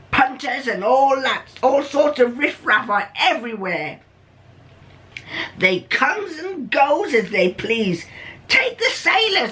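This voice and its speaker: A woman voicing a worried, plain-spoken working-class London madam in a storybook reading, slow, lamenting and emphatic. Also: high-pitched, bright, forceful tone, pitched above the performer's own voice, exaggerated voice.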